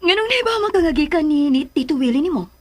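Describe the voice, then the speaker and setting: A woman's voice: high voice, animated, fast, faint music behind. A woman with a Filipino accent playing a weary, guarded, dismissive mother hiding sadness in a radio drama. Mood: guarded, reluctant. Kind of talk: Philippine radio drama acting.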